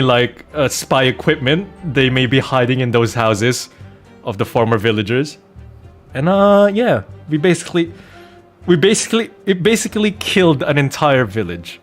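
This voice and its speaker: A man streaming a game, chatting casually and telling anecdotes in an animated, joking way with mock innocence. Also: mid-range voice. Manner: animated, jokey storytelling with mock-innocent protests. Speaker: streamer playing a video game and host of the channel.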